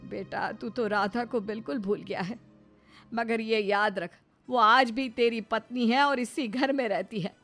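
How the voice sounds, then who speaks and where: A woman's voice: fairly high pitch, fairly even intonation, unhurried, a bit bright, soft background music. A woman voicing a protective middle-aged mother-in-law in a cartoon, concerned and reproving. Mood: firm, concerned.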